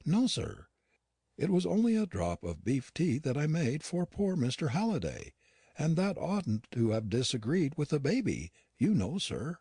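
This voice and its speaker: Guy putting on a high, husky old yorkshire housekeeper lady, mournful, homely dialect talk. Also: wildly swooping pitch, a little slow, mellow, dry recording, clean studio sound, full character voice. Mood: mournful pleading.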